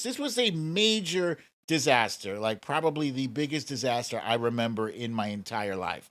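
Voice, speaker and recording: A man's voice: mid-range voice, a bit bright. An American man hosting a livestream, giving casual, informal political commentary with emphatic repetition. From United States. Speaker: livestream host.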